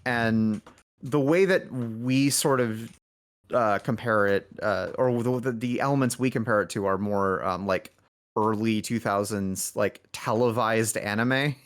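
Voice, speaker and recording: A man's voice: lowish voice, a little slow. Man rambling casually, thinking aloud. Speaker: indie game developer, interview guest. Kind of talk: casual podcast conversation.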